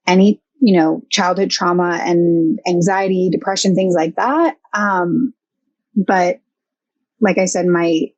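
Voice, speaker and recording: A woman's voice: on the low side, fairly even intonation, slow pace, darkish tone, dry recording, muffled recording. Hesitant woman counselor, dry sound. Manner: thoughtful, hesitant with many fillers. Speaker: guest, counseling intern. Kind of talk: casual podcast interview.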